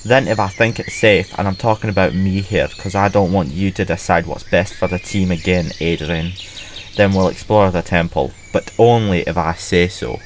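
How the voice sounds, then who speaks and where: A man playing a wary, controlling astronaut commander in a fan audio drama, gruff and bossy. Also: deep voice, steady tone, normal speed, slight background noise, natural voice. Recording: faint insect ambience.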